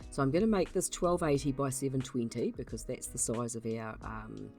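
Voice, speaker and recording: A woman with a croaky voice from being unwell, hosting a casual software tutorial and explaining her steps in a chatty way with many ums. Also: rumbling low, fairly even intonation, moderate pace, soft background music. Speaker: YouTube channel host.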